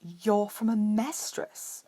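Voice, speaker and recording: A woman's voice: medium pitch, lively intonation, slow pace, dark tone, husky, pitched above the performer's own voice. A haughty young wizard boy acted out by a woman, drawling lazily through a story. He is spoiled and snobbish, with a derisive edge to his words. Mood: curious, prying. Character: pale, haughty, spoiled eleven-year-old wizard boy.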